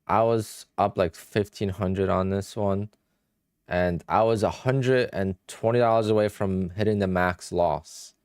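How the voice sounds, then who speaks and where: A man's voice: low voice, unhurried, a little mellow, clean tone, no echo, clean studio sound. A young man with a Polish-influenced accent, chatting offhand on a live stream while he trades and answers questions from viewers. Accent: Polish-influenced English. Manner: offhand, thinking aloud, answers chat questions. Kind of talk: casual livestream chat while trading.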